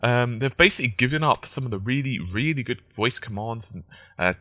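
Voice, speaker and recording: A man with a croaky, just-woken-up voice, giving casual, analytical gaming news commentary. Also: medium pitch, animated, fairly quick, sharp tone, no echo, studio quality. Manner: conversational, analytical.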